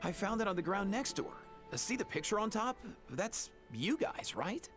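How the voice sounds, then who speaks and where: A man's voice: high-pitched, sing-song, moderate pace, a bit bright. A man voicing an earnest, careful young animal doctor in a video game, explaining things cautiously. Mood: curious. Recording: game music behind the voice.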